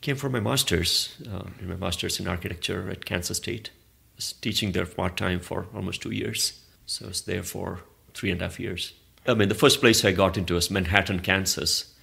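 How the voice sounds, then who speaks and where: Deep gravelly man. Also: moderate pace, hardly any pauses, pushed and bright. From southern India. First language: Malayalam. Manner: thoughtful, meandering, with many restarts. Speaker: interview guest, arts organizer. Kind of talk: reflective conversational interview.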